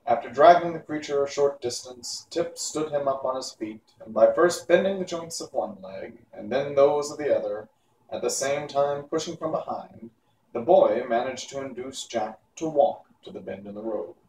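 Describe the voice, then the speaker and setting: A man narrates a children's novel in a medium-pitched voice. His delivery is that of an unpolished amateur reader. He sounds set back from the mic in an echoey room, with faint noise behind him. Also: moderate pace, darkish tone, far from the mic. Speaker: storybook reader. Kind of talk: reading a children's novel aloud.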